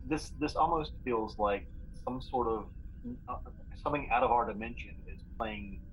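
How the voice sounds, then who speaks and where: A man playing a tabletop roleplaying game, thinking aloud haltingly as he casually speculates and plans. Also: mid-range voice, slight background noise, low-quality recording.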